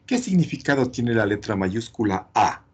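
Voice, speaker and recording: A man's voice: medium pitch, very animated, normal speed, darkish tone, husky. A man teaching a class, didactic and often adding short check questions. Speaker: chemistry teacher.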